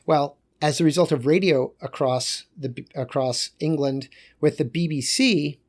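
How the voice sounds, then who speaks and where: A man lecturing on geography in a loose, conversational way, pausing and correcting himself as he goes. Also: fairly high pitch.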